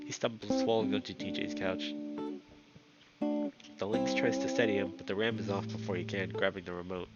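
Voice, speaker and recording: A man's voice: low voice, animated, normal speed. A man reading a visual novel aloud as a let's player, narrating the scenes. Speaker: video game let's player. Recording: dull lo-fi audio under loud guitar music. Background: loud plucked guitar music.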